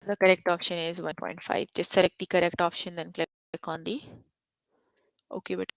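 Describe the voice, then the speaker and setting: A woman's voice: lowish voice, no room sound, poor audio. A young adult woman teaching a live online math lesson over a computer mic, stopping often to check that students follow. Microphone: computer mic on an online call.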